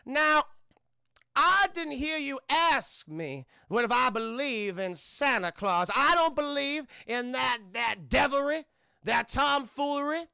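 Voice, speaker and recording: Man putting on a high, indignant old lady. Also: lively intonation, takes it slowly, creaky, pushed and bright, smooth, no room sound, clean studio sound, heavily acted. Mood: scandalized, scolding. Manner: indignant, scolding, melodramatic. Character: hostile, self-righteous elderly Southern antebellum lady.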